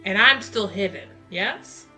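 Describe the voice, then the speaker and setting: Female roleplaying player. Deliberate pace, bright edge to the voice, casual table talk. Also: medium pitch, faint music behind. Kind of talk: casual questioning game talk.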